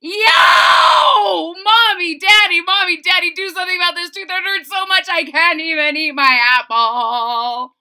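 A woman's voice: very high-pitched, unhurried, pitched above the performer's own voice, heavily acted. A woman voicing a small boy in a children's storybook, wailing dramatically about a painful loose tooth. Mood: pained wailing. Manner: loud, shouting, whiny and dramatic.

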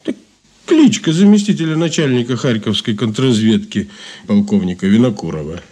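Casting: folksy ex-anarchist informant, wry, colloquial, animated mid-range male voice, radio play. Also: medium pitch, husky. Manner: colloquial, halting with filler sounds. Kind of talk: Soviet radio drama acting.

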